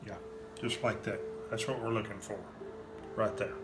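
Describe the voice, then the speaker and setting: A man's voice: on the low side, steady tone, moderate pace, loud music behind the voice. A man, hosting a cooking demonstration in his home kitchen and walking plainly through each step. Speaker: cooking show host. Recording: roomy kitchen echo.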